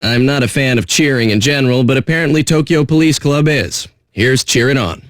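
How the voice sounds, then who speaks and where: An actor playing a jaded pirate radio DJ with a sardonic, blunt, profane way of talking. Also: male voice, medium pitch, a little fast, no room sound, studio quality, close to an everyday voice. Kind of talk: irreverent radio DJ patter.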